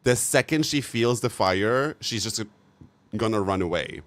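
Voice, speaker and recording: Male streamer, lowish pitch, loose animated chat with his viewers, cursing and laughing freely. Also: measured pace. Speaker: live streamer. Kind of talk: casual chat with live viewers.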